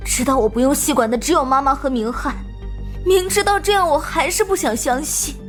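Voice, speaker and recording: An actress playing a wounded but resolute schoolgirl in an animated crime drama, speaking in an emotional, accusing way. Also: sits high, fairly quick, soft background music, close to an everyday voice. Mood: hurt, accusing. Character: wounded, resolute teenage schoolgirl confronting her mother.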